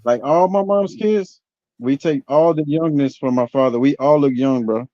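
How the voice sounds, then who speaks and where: A man's voice: mid-range voice, normal speed, mellow, no room sound. A man in his forties chatting casually and laid-back, saying "bro" a lot. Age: 40s. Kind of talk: casual chat. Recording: dull lo-fi sound.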